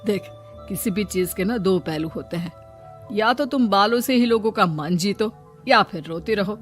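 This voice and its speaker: A woman's voice: mid-range voice, moderate pace, faint music behind, lightly acted. A woman voicing a blunt, admonishing adult relative who advises a young girl in a cartoon. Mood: scolding, advising.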